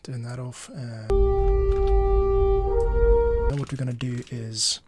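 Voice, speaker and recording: A man's voice: medium pitch, steady tone, slow, loud music behind the voice. A middle-aged man thinking aloud in casual live-stream commentary while he edits audio, sometimes dropping to a near-whisper. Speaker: podcast editor and host. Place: small home studio room, indoors.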